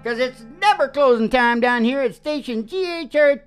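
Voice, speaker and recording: A woman's voice: high-pitched, lively intonation, moderate pace. A woman hosting and singing on a country music show, with a playful, loose manner and a guitar alongside. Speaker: radio show host and singer.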